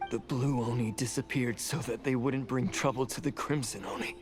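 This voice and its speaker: A man's voice: mid-range voice, fairly even intonation, moderate pace, lightly acted. Man as a guilt-ridden blue oni. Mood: doubtful. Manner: pleading and anxious. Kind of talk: video game voice acting. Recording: game audio with music behind.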